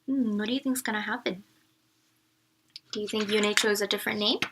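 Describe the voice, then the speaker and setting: A woman reading a children's story aloud as a teacher, pausing to ask questions and explain words expressively. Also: medium pitch, steady tone, heavy background noise.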